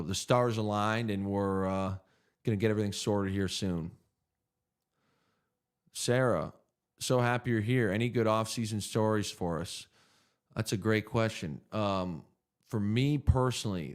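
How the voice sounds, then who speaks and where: A man's voice: on the low side, smooth. A man in his thirties hosting a casual livestream Q&A, laid-back and joking as he thinks aloud through viewer questions. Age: 30s. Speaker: podcast host answering viewer questions.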